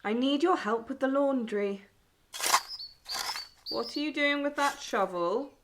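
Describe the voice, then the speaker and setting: A woman's voice: sits high, unhurried, noisy background. An actress playing a strict, disapproving mother in a wartime audio drama, prim and scolding in manner.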